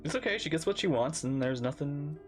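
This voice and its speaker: A game streamer giving casual, playful reaction commentary, halting and repeating phrases. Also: medium pitch, expressive. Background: faint game music.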